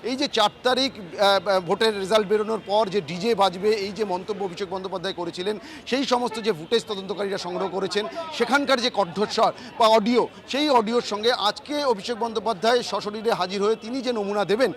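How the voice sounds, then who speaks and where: Rapid-fire Bengali male field reporter, high voice. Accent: Bengali from West Bengal. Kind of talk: live news report. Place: outdoors outside a court.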